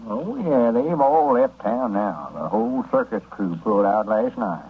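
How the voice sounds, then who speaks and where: An actor playing a folksy rural storekeeper with an Arkansas country drawl in a 1930s radio comedy. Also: male voice, fairly low pitch, animated, a little slow, slightly rough, exaggerated voice. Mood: matter-of-fact. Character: folksy rural storekeeper, reluctant partner in his friend's schemes. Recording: muffled, noisy 1930s radio recording.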